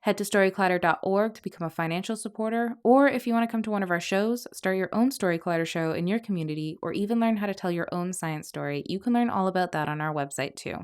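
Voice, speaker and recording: Clear-voiced woman hosting a podcast. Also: medium pitch, quick pace, few pauses. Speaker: podcast host and producer. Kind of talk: scripted podcast outro narration.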